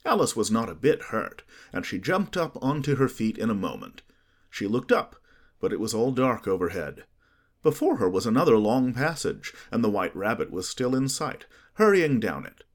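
A man narrating an audiobook in an expressive storytelling manner. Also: lowish voice, normal speed.

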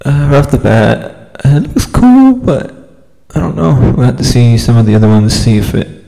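A man's voice: mid-range voice, expressive, moderate pace, vocal fry, dark tone, in a large hall. A man in his thirties from California, co-hosting a podcast in a hesitant, casual chat with frequent laughs. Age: 30s. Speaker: podcast co-host.

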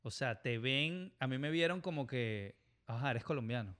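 A man's voice: medium pitch, animated, normal speed, fairly bright tone. A man from Venezuela with a Venezuelan accent, chatting casually on a podcast and telling stories with quoted dialogue. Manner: storytelling, acts out quoted dialogue. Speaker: airline pilot guest.

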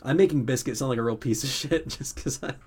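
A man's voice: mid-range voice, fast, a little mellow, breathy edge. A man with a mid-range voice improvises his part in a tabletop roleplaying game. He narrates casually and in a rambling way, dropping into bits of character dialogue as he goes.

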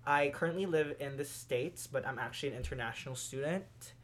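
Relaxed young man from the Philippines. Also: medium pitch, fairly quick, a little hiss or hum. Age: 20s. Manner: relaxed, friendly, many fillers. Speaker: podcast co-host. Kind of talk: casual chat.

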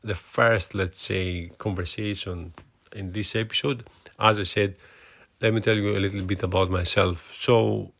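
A man's voice: fairly low pitch, steady tone, unhurried, gravelly, a bit bright. A man with a Greek accent, hosting a solo podcast and talking with many hesitations and fillers. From Greece. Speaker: podcast host.

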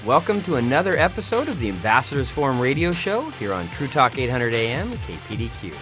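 A man's voice: mid-range voice, very animated, fast talker, over music. A middle-aged man hosting a radio interview show, reading a scripted introduction.

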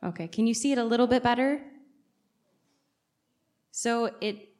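A woman's voice: a little high, moderate pace, darkish tone. A woman, a guest preacher and native English speaker, giving a conversational church sermon and often putting questions directly to the congregation. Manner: engages listeners with frequent direct questions.

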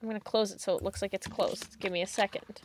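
A woman's voice: mid-range voice, fairly even intonation, quick pace, slight background noise. A woman chatting casually on a podcast, giggly and talkative, with many fillers as she describes things. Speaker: podcast co-host. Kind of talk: casual chat.

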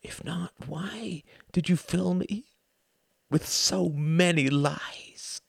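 A man's voice: sits high, very slow, gravelly, slightly rough, no room sound, studio quality, voice pushed up, full character voice. A man voicing a raging, desperate young son. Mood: bitter accusing. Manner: shouting, raging, pleading. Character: furious desperate young man confronting his mother. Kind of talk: audiobook character voice.

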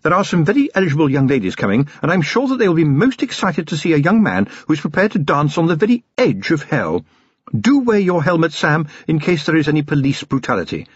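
A man's voice: fairly high pitch, quick pace, slightly rough, no echo, lo-fi sound, pitched above the performer's own voice. A man voicing a self-assured, commanding upper-class lady who speaks with confident, gracious politeness in an audiobook story. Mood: cheerful, commanding. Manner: calm, confident, imperious and polite.

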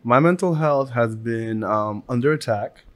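A man's voice: mid-range voice, a little slow, dry recording. Laid-back man co-hosting a podcast. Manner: laid-back slang, frequent laughs and fillers. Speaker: podcast co-host. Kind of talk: casual podcast chat.

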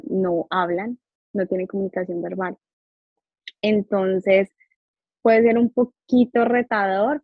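A young woman, a Spanish-first-language psychologist, tells her story in a lively way and mimics other people's voices when she quotes them. Her voice is breathy and husky and sits at a middling pitch. It comes through a narrow, phone-like line in a dead, dry room. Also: dry recording. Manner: animated, acts out quoted dialogue. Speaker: psychologist and behavioral therapist guest. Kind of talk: casual interview storytelling.

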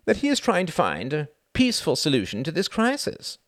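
A man reading aloud, voicing a cool, wry male British diplomat who speaks in a measured, mildly condescending way. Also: a little high, huge pitch swings, no room sound, clean studio sound, voice pushed up.

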